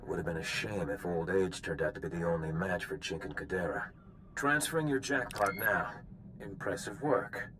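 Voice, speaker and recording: An actor playing a composed, wry official of a bounty hunt in a video game, measured and businesslike. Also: male voice, low-pitched, noisy background, close to an everyday voice.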